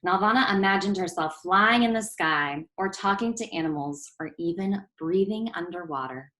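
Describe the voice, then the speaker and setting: A woman reading a children's picture book aloud as a storyteller, expressive and clearly phrased. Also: lowish voice, normal speed, low-quality recording.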